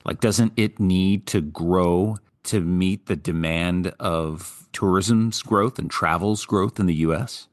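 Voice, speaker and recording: A man's voice: low voice, slow pace, few pauses. A man co-hosting a podcast, putting questions in a conversational way. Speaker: podcast co-host.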